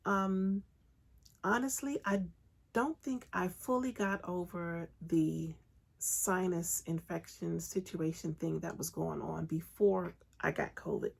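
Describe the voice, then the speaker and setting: A woman hosting a crafting podcast from home, her voice raspy, husky and congested after being sick. There is a gravelly fry under her mid-pitched talk. She chats along eagerly, with plenty of ums. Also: takes it slowly. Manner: chatty, enthusiastic, frequent ums. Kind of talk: casual solo podcast chat.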